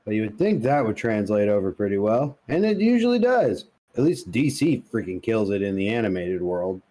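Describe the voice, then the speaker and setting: Mellow-voiced man, blunt and slangy. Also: on the low side, big pitch swings, normal speed. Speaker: podcast co-host. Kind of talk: casual opinionated banter.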